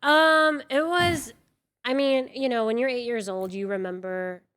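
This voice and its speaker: A woman's voice: on the high side, unhurried, fairly bright tone, clear, resonant tone. A woman from Venezuela, a native Spanish speaker, chatting casually as a guest on an interview show, with lots of fillers and self-deprecating asides.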